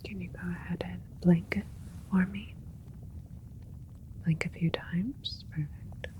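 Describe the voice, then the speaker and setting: A woman playing a calm, clinical alien scientist in a sci-fi ASMR roleplay, speaking in a soft, breathy whisper with a gentle, methodical manner. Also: lowish voice, slow. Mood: attentive, clinical. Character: calm, clinical alien scientist doctor examining their creation.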